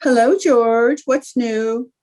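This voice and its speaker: A woman's voice: on the high side, fairly even intonation, takes it slowly, a little mellow. A woman playing a scatterbrained young housewife, sly and theatrically innocent, in old-time radio comedy style. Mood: feigned innocence.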